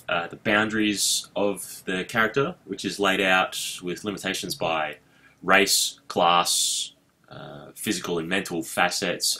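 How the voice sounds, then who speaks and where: A man with a very deep voice and a bright, sharp tone. He answers an interview question with a measured, step-by-step explanation. He adds the occasional uh as he goes. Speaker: interviewee, warehouse manager.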